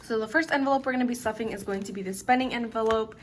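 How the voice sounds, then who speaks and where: A young woman in her twenties vlogging casually to camera, her voice a little raspy from staying up late, talking in a relaxed, chatty way with plenty of "like" and "literally". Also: on the high side, very fast, a little hiss or hum. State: slightly raspy from lack of sleep. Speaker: budgeting vlogger.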